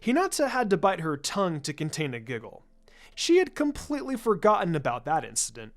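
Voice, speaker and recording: Woman narrating a story, lively pitch. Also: a little fast. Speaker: fan fiction reader. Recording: background music under the voice. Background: music.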